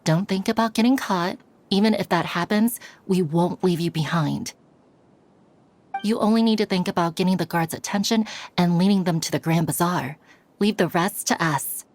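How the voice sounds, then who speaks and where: An upbeat young woman character from a video game, voiced by a woman. She sounds reassuring and encouraging, in a voice a little lower than usual. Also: normal speed, darkish tone, close to an everyday voice.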